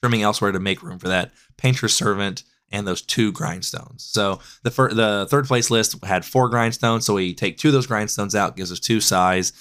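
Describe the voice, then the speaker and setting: Male podcast host, hoarse and raspy from a cold, slightly low voice, loose self-correcting delivery. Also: moderate pace, few pauses, a bit bright. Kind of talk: casual deck analysis.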